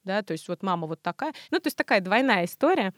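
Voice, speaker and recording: A woman speaking as an expert guest in a podcast interview, explaining in a colloquial, animated way. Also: medium pitch, fast talker, no echo, studio quality.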